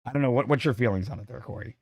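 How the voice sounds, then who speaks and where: A man's voice: medium pitch, very fast, vocal fry, dry recording, studio quality. Chatty man losing his voice. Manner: opinionated, chatty, self-deprecating. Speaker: podcast co-host. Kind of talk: casual chat.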